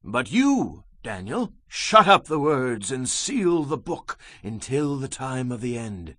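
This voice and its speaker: Solemn bible prophet, dramatic audiobook, voice swells and drops a lot, dry close sound. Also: male voice, mid-range voice, sing-song, slow pace, fairly bright tone, no room sound, exaggerated voice. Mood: grave, awed. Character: solemn male prophet recounting apocalyptic visions. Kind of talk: dramatized scripture reading.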